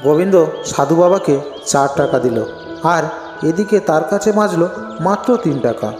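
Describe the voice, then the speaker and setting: A heavily echoing, reverberant track carries a man's storybook narration. His voice is fairly high for a man, and faint music plays behind it. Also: expressive, moderate pace.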